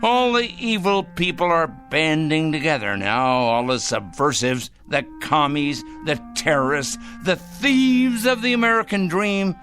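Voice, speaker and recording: Radio drama role: a self-righteous zealot, wildly swooping pitch, bright insistent edge, fussy and repetitive. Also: male voice, measured pace, hardly any pauses, soft background music. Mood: conspiratorial, indignant. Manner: fussy, excitable, repeating himself emphatically. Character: fanatical, self-righteous male crank obsessed with punishing evil.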